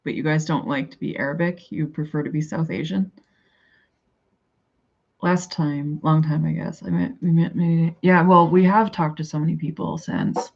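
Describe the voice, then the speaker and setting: A Canadian woman with a lowish voice chats loosely with her viewers, thinking aloud and drifting from point to point. Her talk is casual and rambling. The audio is dull and lo-fi. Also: steady tone, normal speed, gravelly. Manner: rambling, chatty, thinks aloud and answers chat. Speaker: livestreamer chatting with viewers. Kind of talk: casual live chat.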